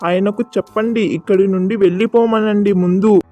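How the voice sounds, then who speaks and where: A man's voice: sits high, fairly even intonation, moderate pace, warm tone, faint music behind, close to an everyday voice. A man acting an eager disciple and a scheming minister in an insistent, theatrical children's folk-tale style. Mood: frantic, insistent.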